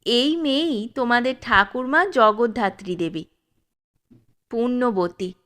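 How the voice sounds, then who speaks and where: Woman storyteller, higher voice, very slow, measured reading. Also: a bit bright. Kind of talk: storybook narration.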